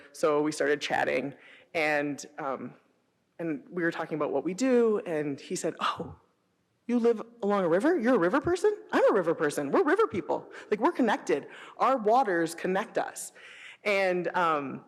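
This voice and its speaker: A middle-aged woman with an American accent giving an informal presentation, telling personal anecdotes in an animated way and acting out quoted dialogue. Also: fairly low pitch. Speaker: city sustainability director presenting. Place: presentation hall before an audience. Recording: echoey hall, long reverb.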